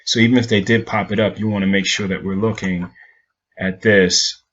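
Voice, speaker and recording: Low-voiced man rambling through chart analysis. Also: steady tone, moderate pace, a bit bright, muffled recording. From Jamaica. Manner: rambling, repeats "again" and "so again". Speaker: stock market analyst. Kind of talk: casual market recap over charts.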